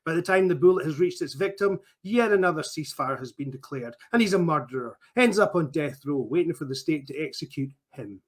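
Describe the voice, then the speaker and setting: A man's voice: fairly high pitch, lively intonation, brisk, hardly any pauses, husky. A man voicing a sneering, ranting male killer. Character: bitter male killer ranting in a sunken wreck.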